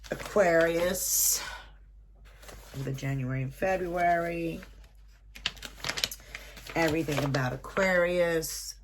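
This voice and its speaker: A low-voiced woman speaks slowly through a stuffy, nasal head cold. She reads out item lists and prices with casual asides, recorded in a small room at home with a steady hiss of background noise. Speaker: subscription box reviewer. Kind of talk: casual unboxing, reading from a booklet.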